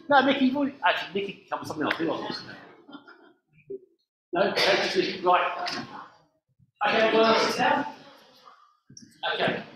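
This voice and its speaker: A man's voice: on the high side, noisy background. A man in his forties, a vicar giving a playful all-age sermon and directing his congregation through games. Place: church hall with a lectern. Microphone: far camera on the floor. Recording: echoey church from far off.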